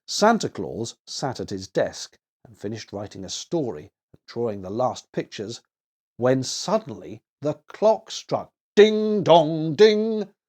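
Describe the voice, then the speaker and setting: A man's voice: mid-range voice, very animated, takes it slowly, darkish tone. A man reading a children's story aloud as narrator, expressively and with dramatic emphasis.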